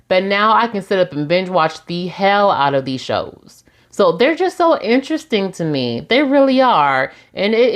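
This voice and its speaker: Sassy chatty woman in her forties. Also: medium pitch. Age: 40s. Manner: sassy, chatty, laughs often, addresses listeners as y'all. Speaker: recap host. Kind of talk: casual reality TV recap.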